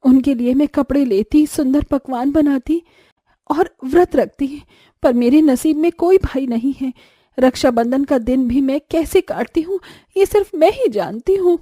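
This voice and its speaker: A woman voicing a gentle, sentimental younger sister-in-law in a cartoon, sweet and earnest. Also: high-pitched, measured pace, no echo, studio quality. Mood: wistful, sad.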